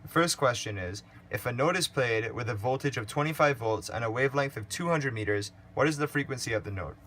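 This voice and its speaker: Teenage boy, middle pitch, slow step-by-step explaining, faint hiss. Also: a bit bright. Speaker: student presenter. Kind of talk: read-aloud worked calculations.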